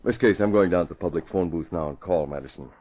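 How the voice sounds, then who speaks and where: An actor playing a composed, decisive newspaperman and secret vigilante, giving brisk orders in a 1940s radio drama style. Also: low voice. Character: composed, commanding newspaperman leading a double life as masked vigilante. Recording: muffled vintage radio recording.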